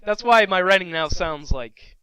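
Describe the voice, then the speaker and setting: A young man co-hosting a casual podcast, playful and quick to laugh, explaining his points at length. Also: high-pitched, lively intonation, fairly bright tone. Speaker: podcast co-host. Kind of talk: casual chat.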